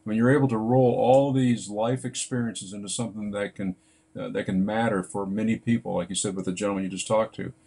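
Halting older interviewer on a call. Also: male voice, lowish voice, fairly even intonation, warm tone, breathy edge. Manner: halting, with many restarts and fillers, supportive. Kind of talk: casual interview over video call. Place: bright room at home.

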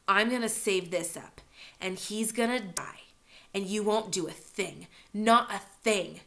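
A woman's voice: medium pitch, unhurried, vocal fry, sharp tone. A woman voicing a cruel, taunting older boy in an audiobook, who drops to a whisper at times. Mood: menacing. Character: menacing, mocking older boy, a cruel bully.

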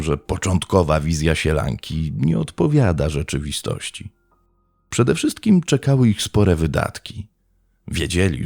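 Very deep, gravelly male crime narrator. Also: mellow, dry recording. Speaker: true crime podcast narrator. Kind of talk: scripted narration. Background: faint background music.

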